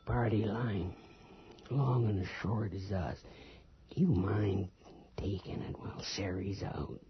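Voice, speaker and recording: An actor playing a guarded, plain-spoken rural father back from the grave in a radio horror drama, halting and folksy, with a breathy half-whisper. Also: fairly low pitch, steady tone, noisy background. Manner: terse, halting, folksy rustic speech. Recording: dull lo-fi radio recording with music.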